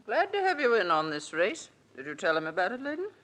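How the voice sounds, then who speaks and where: A big, brisk aircraft company boss around sixty in an old radio adventure. She is businesslike and emphatic, and her pitch moves up and down with plenty of animation. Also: huge pitch swings, a bit bright. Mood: brisk, confident. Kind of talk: 1940s radio acting.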